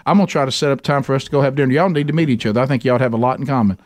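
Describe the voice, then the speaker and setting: A man's voice: medium pitch, rapid-fire, darkish tone. A man with a Southern US accent hosting a casual podcast, folksy and repeating phrases for emphasis. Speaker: podcast host.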